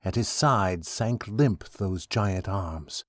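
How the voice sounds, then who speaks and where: A man's voice: sits low, slow pace, no room sound, studio quality. A man reading mock-epic narrative verse aloud in a dramatic style. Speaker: poetry reader in a group recording.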